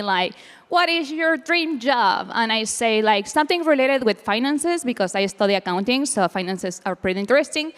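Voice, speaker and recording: A woman's voice: mid-range voice, a bit bright, clear, resonant tone. A woman telling her own story as a panelist. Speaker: panelist, community co-founder. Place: summit event room. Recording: reverberant hall.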